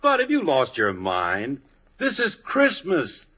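A man's voice: medium pitch, wildly swooping pitch, slow pace, bright, forceful tone, a little hiss or hum, heavily acted. An American actor playing an exasperated, indignant middle-aged father in a 1950s radio sitcom, blustery, sarcastic and emphatic.

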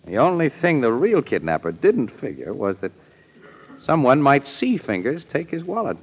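A man acting a wisecracking, eager crime photographer in a 1940s radio drama, brisk, slangy and casual. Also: medium pitch, huge pitch swings, husky. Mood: assured. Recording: dull old-time radio recording.

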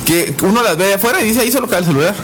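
Animated man in his thirties. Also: high voice, very fast, slight background noise. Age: 30s. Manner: animated storyteller, frequent "ajá" backchannels. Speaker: co-host. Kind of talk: casual chat.

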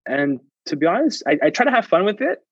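A man's voice: sits high, big pitch swings, fast, husky, no echo, muffled recording. A man whose first language is Spanish, a podcast guest talking earnestly and motivationally, often restarting and repeating words. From United States. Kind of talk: casual interview chat.

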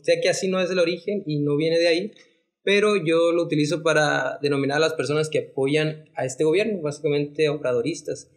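A man's voice: fairly high pitch, fairly even intonation, brisk. A man with a Mexican accent in a casual podcast chat. He talks in a laid-back, agreeable way and fills his speech with little filler words. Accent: Mexican Spanish.

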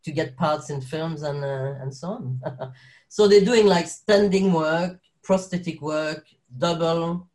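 A man's voice: a little high, takes it slowly, a little mellow. French-accented man, chatty and laughing. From Belgium. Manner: rambling, laughs often, many restarts. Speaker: guest actor. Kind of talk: casual interview chat.

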